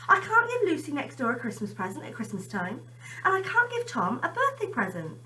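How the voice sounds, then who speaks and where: Woman putting on a high, earnest little boy. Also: huge pitch swings, fairly quick, bright, forceful tone, exaggerated voice, poor audio. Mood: earnest, wistful. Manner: earnest, polite. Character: thin little poor boy, polite and earnest, in a storybook.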